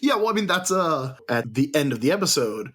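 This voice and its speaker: A man's voice: fairly high pitch, very animated, brisk, a bit bright, slightly rough. Wry, chatty male podcast co-host. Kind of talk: casual chat.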